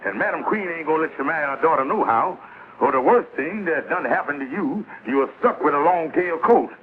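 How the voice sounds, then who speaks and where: An actor playing an excitable, scheming middle-aged man with an American accent, in folksy, broad comic 1950s sitcom style. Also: on the high side, brisk, lightly acted. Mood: wry, animated. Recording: scratchy, tinny old TV soundtrack, heavy noise.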